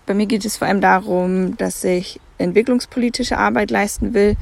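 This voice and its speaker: Eine neunzehnjährige Frau aus der Nähe von Bremen, die nachdenklich und mit Füllwörtern über ihre Gründe spricht. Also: female voice, mid-range voice, vocal fry, darkish tone, no room sound. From near Bremen, Germany. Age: teens. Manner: thoughtful, with fillers. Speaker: young volunteer.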